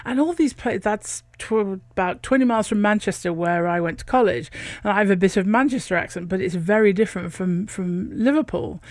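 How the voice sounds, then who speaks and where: A woman's voice: medium pitch, brisk, no echo, studio quality. A middle-aged woman from England with a northern English accent, an opera singer telling stories as a radio interview guest and laughing often. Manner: chatty, laughs often, warm storytelling.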